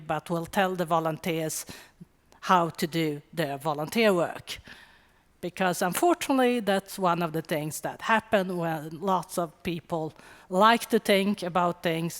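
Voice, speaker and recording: A middle-aged woman from Sweden with a Swedish accent, giving a wry, conversational conference talk with quick asides. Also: fairly low pitch. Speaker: conference speaker. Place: conference hall. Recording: long hall reverb.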